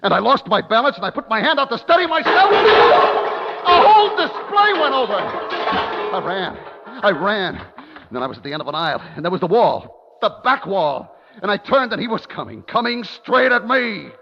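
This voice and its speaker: Terrified hunted man, high and swinging voice. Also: very animated, moderate pace, creaky, exaggerated voice. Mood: frantic panic. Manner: intense, suspenseful, swinging from hushed to frantic. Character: terrified man hunted by a killer, recounting his ordeal. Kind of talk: 1950s radio thriller acting, first-person dramatic narration. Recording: dull 1950s radio recording with music.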